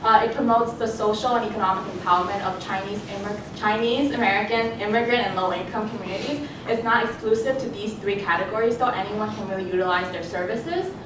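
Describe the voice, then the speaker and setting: A woman's voice: quick pace, hardly any pauses. A young woman whose first language is Cantonese, giving a student presentation in a conversational way with fillers and restarts. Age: young adult. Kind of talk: presentation talk. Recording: echoey hall, voice from a distance, background rumble.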